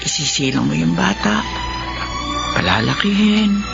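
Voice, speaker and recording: A woman's voice: on the low side, lively intonation, unhurried, gravelly, full character voice. An actress playing a lonely, forgetful, tender mother of sixty in a Filipino radio drama, sighing and drawing out her words. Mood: wistful, melancholy. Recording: dull lo-fi sound under loud music.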